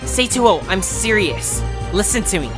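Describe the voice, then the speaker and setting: An actor plays a smug, standoffish companion in an audio drama. The voice moves widely in pitch, with clear music underneath. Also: sing-song, measured pace. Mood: serious, urgent. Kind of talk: fan audio drama acting.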